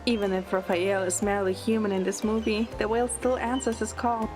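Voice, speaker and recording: A woman's voice: medium pitch, level tone, a little fast, close to an everyday voice. A woman playing a playful, teasing young heroine who narrates her story in an audio drama, in the first person. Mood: gentle narration. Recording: music under the voice. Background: background music.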